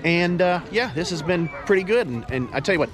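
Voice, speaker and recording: A folksy, middle-aged Texan man talks into a camera held at arm's length while standing in an outdoor park. His voice sits a little higher than most men's, and he chats loosely, often restarting his words. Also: lively intonation, a little fast, a crowd in the background. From Texas. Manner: chatty, folksy, repeats words while thinking. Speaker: vlogger host. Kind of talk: casual selfie vlog.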